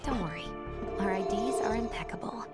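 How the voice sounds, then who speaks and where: A woman's voice: medium pitch, animated, moderate pace, close to an everyday voice. Girl voicing teasing young rebel techie, playful game cutscene explainer, big music under her. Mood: playful, explaining. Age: young adult. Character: perky, teasing young woman rebel tech expert. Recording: loud music behind the voice.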